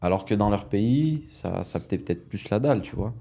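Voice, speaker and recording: A man hosting a podcast, asking casual interview questions in a soft-spoken way with many brief affirmations. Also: on the low side, animated, fairly quick, gravelly. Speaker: podcast host.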